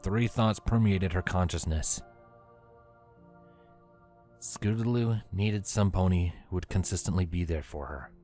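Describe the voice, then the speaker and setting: A man's voice: sits low, measured pace, long pauses, dark tone, soft background music. A man reading scripted story narration as the narrator of an audio storybook.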